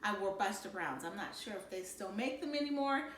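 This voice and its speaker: A woman reading a children's novel aloud in an expressive storytelling style. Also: mid-range voice, fairly quick, reverberant, slight background noise. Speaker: storybook reader.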